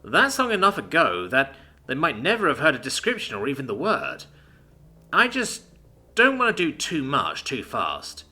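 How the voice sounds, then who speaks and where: A man reading a first-person science fiction story in an animated way and acting out the characters. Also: a little high, normal speed. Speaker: narrator.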